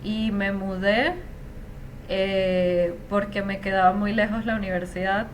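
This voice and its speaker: A woman's voice: mid-range voice, little pitch movement, unhurried, a little hiss or hum. A Venezuelan woman in her thirties, an interview guest who talks in a chatty, reflective way. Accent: Venezuelan Spanish. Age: 30s. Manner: chatty, reflective, frequent fillers. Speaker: interview guest, Spanish teacher. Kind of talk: casual conversational interview.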